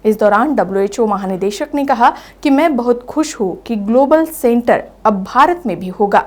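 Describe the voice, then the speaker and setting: Female news anchor, slightly high voice. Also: lively intonation, normal speed. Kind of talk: scripted news bulletin reading.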